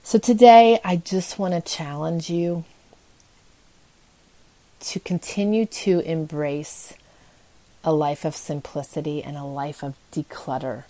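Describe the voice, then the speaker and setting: Female podcast host with a low register; earnest, encouraging, informal motivational delivery. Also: steady tone, slow, pauses often, mellow, poor audio. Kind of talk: casual motivational podcast talk.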